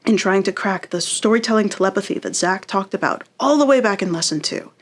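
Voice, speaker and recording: A woman's voice: mid-range voice, expressive, a little fast. A woman with a mid-range voice gives an instructional lesson. She talks conversationally and encouragingly, as a writing teacher would.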